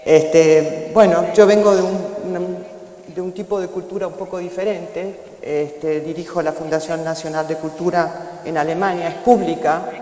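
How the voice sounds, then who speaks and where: A woman's voice: lowish voice, steady tone, moderate pace, mellow. Hesitant woman in an echoey hall. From Argentina. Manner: hesitant, many fillers, searching for words. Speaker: panelist, foundation director. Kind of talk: conference panel talk. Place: conference hall. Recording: echoey hall with long reverb.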